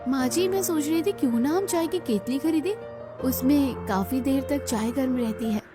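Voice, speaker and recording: Sweet, eager young daughter-in-law acted by a woman, high voice, cartoon dialogue with music underneath. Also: normal speed, lightly acted. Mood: suggesting. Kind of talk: scripted cartoon story dialogue. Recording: music behind the voice.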